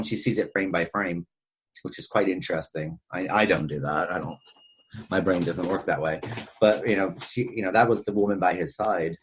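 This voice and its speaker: Husky, low-voiced man chatting about film. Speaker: podcast host. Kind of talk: casual film discussion.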